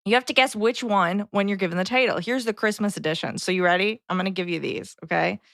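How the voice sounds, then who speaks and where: A woman's voice: mid-range voice, fast talker, creaky, a bit bright, dry recording. A woman co-hosting a podcast, chatting casually and conversationally. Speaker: podcast co-host.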